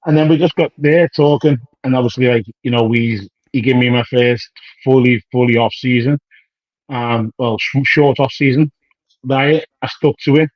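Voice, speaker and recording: Slangy British-raised bodybuilder, dry lo-fi. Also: male voice, medium pitch, moderate pace, dry recording. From United Kingdom. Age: 30s. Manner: rambling, slangy, acts out quoted dialogue. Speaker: professional bodybuilder guest. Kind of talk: casual interview chat.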